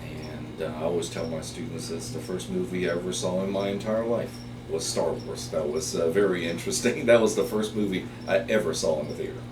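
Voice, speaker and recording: A middle-aged man from Taiwan, whose first language is Chinese, telling his story in an interview as a biology professor. He speaks unhurriedly, with many pauses and fillers. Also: deep voice, fairly even intonation, far from the mic. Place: office. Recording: roomy, off-mic, with background hiss.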